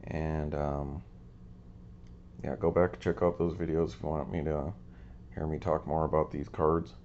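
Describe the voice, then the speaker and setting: A man's voice: a bass voice, level tone, unhurried, darkish tone, muffled recording. A man hosting a casual show-and-tell of his cards, easygoing and rambling with lots of ums, his voice a little scratchy today. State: slightly scratchy voice. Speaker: card collecting channel host.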